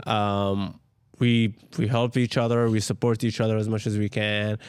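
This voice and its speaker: A young man from Jordan speaks as an interview guest in a lowish, flat, smooth voice. He is soft-spoken and often searches for the right word, and the recording is dry and close. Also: normal speed, no room sound. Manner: soft-spoken, searches for words, many "like" fillers. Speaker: guest, restaurant co-owner. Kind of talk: casual interview chat.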